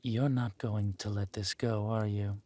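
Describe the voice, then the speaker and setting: A man playing a menacing, possessive villain warlord and protective lover in a scripted roleplay audio drama, speaking deliberately and commandingly, dropping into breathy murmurs. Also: fairly low pitch, fairly even intonation, mellow. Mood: resigned, commanding.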